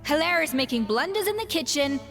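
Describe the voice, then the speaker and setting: A woman's voice: high-pitched, lively intonation, brisk, a bit bright, faint music behind. An actress playing a proud, exacting young female chef with a British accent in a video game, formal, haughty and exasperated. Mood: stern.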